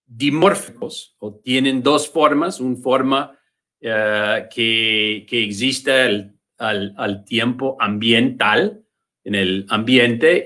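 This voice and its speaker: A man's voice: mid-range voice, pushed and bright. A man with an American English accent, a native English speaker, giving a virtual conference lecture in Spanish, often repeating words as he searches for them. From United States. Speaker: invited lecturer. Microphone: computer mic on a video call.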